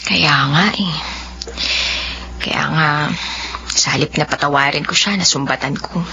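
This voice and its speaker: A woman playing a bright-voiced young heroine. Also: low voice, a little slow, a little hiss or hum, full character voice. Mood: quiet, weary. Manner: tearful, accusing, then soft and whispery. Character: young woman Nanette, hurt and grieving. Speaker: lead character. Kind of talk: dubbed melodrama acting. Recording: dull lo-fi sound with faint music.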